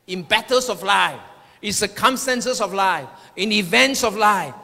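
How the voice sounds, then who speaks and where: A male preacher with a high-pitched, forceful voice delivers a sermon in a hall with long reverb. He speaks in emphatic declarations, often repeating phrases for weight. His tone is bright and sharp as he addresses the congregation. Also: animated, measured pace, vocal fry. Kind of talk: sermon with personal prophetic words to the congregation.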